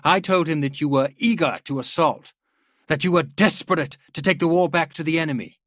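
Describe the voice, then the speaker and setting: Guy doing a proud older army general, big loud speechy delivery, voice pushed up high, dry audiobook sound. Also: brisk, dry recording. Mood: stern, commanding. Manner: declamatory, projected parade-ground oratory. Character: proud older male army general rallying his officers. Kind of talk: dramatised audiobook character voice.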